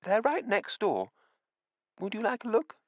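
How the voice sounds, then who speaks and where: A man's voice: big pitch swings, measured pace, no room sound, highs cut off. A man putting on a sweet fluttery old landlady. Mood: coaxing. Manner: high fluttering genteel voice. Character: sweet elderly landlady, genteel and solicitous.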